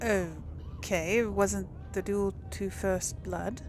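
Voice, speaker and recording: A woman's voice: medium pitch, fairly even intonation, drawn-out words, a little mellow, slight background noise. A woman hosting a casual role-play let's play, reacting and thinking aloud in a hesitant way. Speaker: gaming channel host. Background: game music and sounds.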